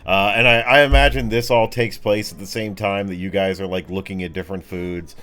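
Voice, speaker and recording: A man's voice: lowish voice, steady tone, brisk, fairly bright tone. A man acting as game master, chatting casually and loosely narrating a tabletop game.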